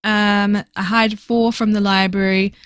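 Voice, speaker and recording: A woman recording a casual voiceover for her reading journal video, chatty and fast-talking with lots of fillers, her voice slightly hoarse. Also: mid-range voice, flat delivery, no room sound. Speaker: book vlogger.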